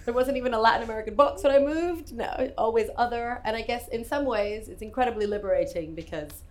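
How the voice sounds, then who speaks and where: A woman's voice: fairly high pitch, fast talker, a little mellow. A woman from Puerto Rico, a guest at a live talk, chatting to the audience in a wry, joking way. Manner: wry, joking and self-deprecating. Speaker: panel guest.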